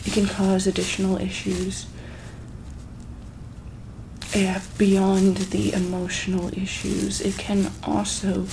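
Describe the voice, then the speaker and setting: Slow, creaky-voiced woman doing ASMR roleplay. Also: medium pitch, completely flat delivery, background noise. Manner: slow, hesitant, repeats questions gently. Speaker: ASMR roleplayer as monster hospital examiner. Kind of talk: soft-spoken ASMR medical roleplay.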